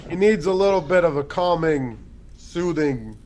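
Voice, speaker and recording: A man's voice: on the high side, expressive, normal speed, darkish tone, pitched above the performer's own voice, lightly acted. A man voicing a blunt, boastful warrior character who teases his companions. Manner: blunt, teasing.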